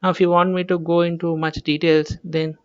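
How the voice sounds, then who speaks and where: A man's voice: a little high, flat delivery, brisk, clear, resonant tone, no echo, dull audio. A man with an Indian accent gives an informal tutorial as an instructor, explaining things step by step and often repeating himself.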